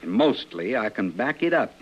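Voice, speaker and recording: A man's voice: lowish voice, very animated, moderate pace, breathy edge. An American actor playing a proud, defiant young frontiersman in a 1950s radio western, with folksy rural speech. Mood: defiant, edgy. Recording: dull, faintly noisy vintage radio recording.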